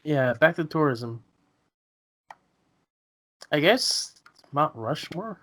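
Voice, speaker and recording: A man's voice: fairly high pitch, expressive, drawn-out words, a bit bright. A twenty-two-year-old man in a casual chat, speaking hesitantly and often repeating his words. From South America.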